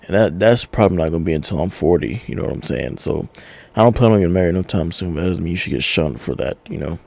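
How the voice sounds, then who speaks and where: A man's voice: low voice, lively intonation, fast talker, creaky, a little mellow. A young man hosting a music reaction video, talking in a laid-back, slangy way. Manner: laid-back, slangy, calls listener bro. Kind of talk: casual reaction commentary.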